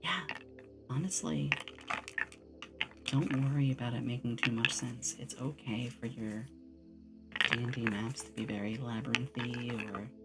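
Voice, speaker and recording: A woman's voice: a bass voice, unhurried, long pauses, loud music behind the voice. A woman chatting casually on an ASMR livestream, rambling with playful asides, close on a binaural microphone. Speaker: streamer and game master.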